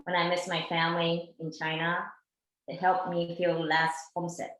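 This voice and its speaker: In an echoey room, a woman acts out a homesick woman from China who loves to cook. She talks plainly, in a lowish voice, as in a documentary stage play. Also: monotone, moderate pace, fairly bright tone, lightly acted. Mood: homesick, appreciative. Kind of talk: documentary theater monologue.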